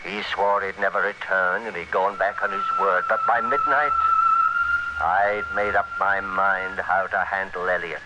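A man's voice: deep voice, wildly swooping pitch, measured pace, lo-fi sound, full character voice. An actor playing a cold, domineering male criminal who schemes and gives orders in a 1940s radio thriller. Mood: grim, calculating. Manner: hard, domineering, clipped and menacing. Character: cold, scheming male criminal narrating his murderous plot. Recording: noisy vintage 1940s radio recording.

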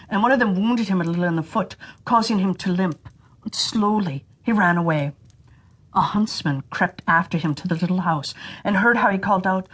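Female children's audiobook narrator: slightly husky, mid-pitched, expressive and deliberate with scripted fairy tales.

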